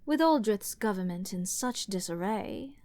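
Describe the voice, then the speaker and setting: Woman acting a slow-talking arrogant scheming princess. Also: mid-range voice, animated, a little mellow, close to an everyday voice. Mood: imperious, scheming. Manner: haughty, theatrical, slow and teasing. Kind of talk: dramatic fantasy audio roleplay with ASMR whispering.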